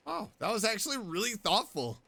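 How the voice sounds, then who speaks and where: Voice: mid-range voice, big pitch swings, fairly quick, creaky, pushed and bright, slight background noise. A game streamer casually voicing replies and reacting during play. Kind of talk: casual read-along of game dialogue with reactions. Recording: game music faintly behind.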